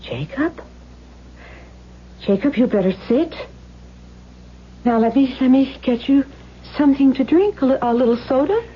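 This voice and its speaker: A woman's voice: sits high, animated, measured pace, pauses often, breathy edge, highs cut off, full character voice. An actress playing a practical, concerned younger sister in an old-time radio drama, fussing over her brother.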